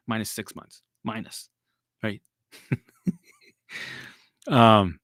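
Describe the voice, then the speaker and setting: A man's voice: mid-range voice, a bit bright, dry recording, clean studio sound. A man hosting a casual live stream as a recovery coach. He rambles and repeats himself, swears casually and laughs at himself as he answers viewers.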